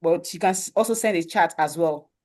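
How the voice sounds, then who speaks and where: A woman's voice: on the low side, fairly quick, a little mellow. A woman hosts an online webinar over a video call. She speaks in a welcoming, repetitive way, often checking that listeners follow along. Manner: welcoming, repeats "you understand" often. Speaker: webinar host.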